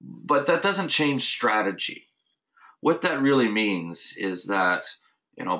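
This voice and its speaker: A man with a notably low voice hosts a recorded webinar. He talks loosely and off the cuff, restarting sentences and leaning on fillers as he explains. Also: bright, forceful tone. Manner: casual, many restarts and "you know" fillers. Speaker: host of a weekly marketing update. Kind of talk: unscripted webinar talk.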